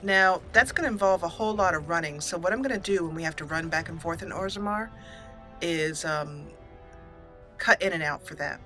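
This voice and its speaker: Female let's play host, bright voice, casual storytelling commentary, faint music behind. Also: lowish voice, a little fast.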